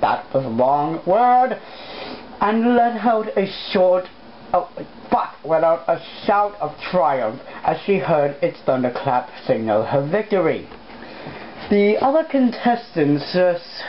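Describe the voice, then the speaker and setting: Woman, lowish voice, informal amateur story reader, self-deprecating, stumbling, muffled noisy recording. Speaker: host, amateur fan fiction reader. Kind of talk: casual read-aloud with off-script asides. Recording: dull lo-fi mic with clear background noise.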